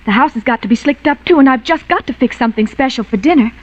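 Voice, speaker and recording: Chirpy young housewife for vintage radio soap ad: fairly high, bubbly, muffled period sound. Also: female voice, fast talker. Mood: excited, busy. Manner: bubbly, talking aloud to herself. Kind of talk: 1940s radio commercial acting.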